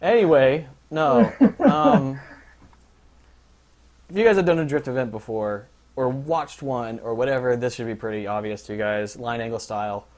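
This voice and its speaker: A man's voice: mid-range voice, moderate pace, a little mellow. A young man briefing drivers on a casual livestream as the league organizer, rambling with lots of fillers and jokes. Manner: rambling, filler-heavy, joking.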